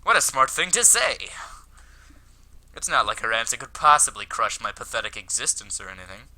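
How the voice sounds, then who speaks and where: Man playing an intensely bright-voiced awkward schoolboy. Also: medium pitch, big pitch swings, a little fast, exaggerated voice, poor audio. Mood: self-deprecating. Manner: wry, flustered. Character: awkward, self-deprecating, lovestruck high school boy. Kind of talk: visual novel character read aloud.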